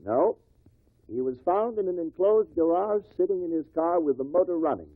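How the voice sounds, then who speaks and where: An actor playing an official, plain-spoken coroner who formally explains matters to a jury in a 1950s radio drama. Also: male voice, on the high side, lively intonation, normal speed, dry recording. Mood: official, matter-of-fact. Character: brisk, official male coroner presiding over an inquest. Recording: tinny, dry old radio recording.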